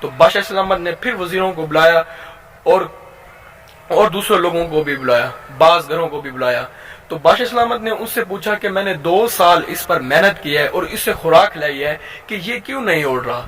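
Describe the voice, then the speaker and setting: A man with a Pakistani accent telling a moral tale informally, as a channel host, haltingly and with repeated phrases. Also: a little high, a bit bright. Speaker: YouTube storyteller and channel host.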